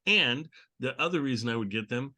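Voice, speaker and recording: A man's voice: mid-range voice, lively intonation, brisk, sharp tone. A man hosting a casual group chat, talking in an enthusiastic, rambling way. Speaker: show host. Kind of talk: casual group chat about old radio.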